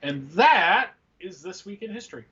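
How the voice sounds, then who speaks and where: A man's voice: sits high, big pitch swings, moderate pace, pushed and bright. A man co-hosting a fan show, chatting casually about news on dull, lo-fi audio. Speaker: co-host.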